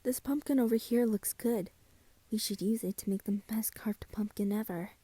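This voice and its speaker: A woman playing a self-doubting friend in a scripted roleplay, speaking softly and hesitantly at an unhurried pace. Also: a little high, breathy edge, no echo. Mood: eager. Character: soft-spoken, self-doubting friend carving Halloween pumpkins.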